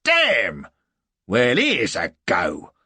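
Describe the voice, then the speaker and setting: Loud, hearty English army sergeant. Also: male voice, medium pitch, huge pitch swings, unhurried, husky, no echo, pitched above the performer's own voice, full character voice. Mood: delighted. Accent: English working-class. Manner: hearty, blunt, deferential to officers. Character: bluff loyal British army sergeant, beaming, firm, working-class.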